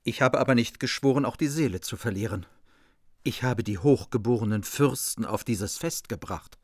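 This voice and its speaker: Ein Schauspieler spielt in einem deutschen Hörspiel einen edlen, innerlich zerrissenen Ritter, ernst und förmlich. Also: male voice, medium pitch, normal speed, a little mellow, no echo, clean studio sound, natural voice. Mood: grave. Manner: grave, formal, troubled. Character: anguished noble knight torn between oath and friendship. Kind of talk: German radio play of a medieval epic.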